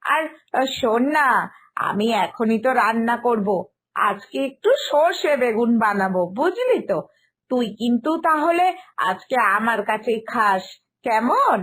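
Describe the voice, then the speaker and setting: A woman's voice: on the high side, sing-song, measured pace, fairly bright tone, exaggerated voice. A woman voicing a lazy, slovenly aunt in a cartoon family story, breezy and chatty, scoffing at fuss. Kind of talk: animated Bengali family story dialogue.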